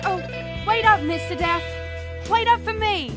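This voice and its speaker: A woman's voice: very high voice, measured pace. A woman playing a spirited young Wild West woman in a radio drama, heard at a distance over music. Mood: urgent calling.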